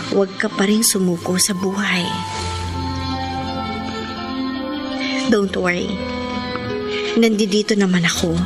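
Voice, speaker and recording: A woman with a Filipino accent playing a devoted, lovelorn young woman, tender and earnest, in a radio drama. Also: fairly low pitch. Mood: tender, consoling. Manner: earnest, emotive, consoling. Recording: music bed under the voice. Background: background music.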